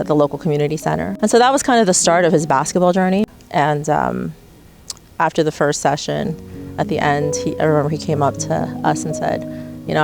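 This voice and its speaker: Middle-aged woman, parent, calm storyteller, deep voice, guitar music. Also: creaky. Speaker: parent of the player. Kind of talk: reflective documentary interview.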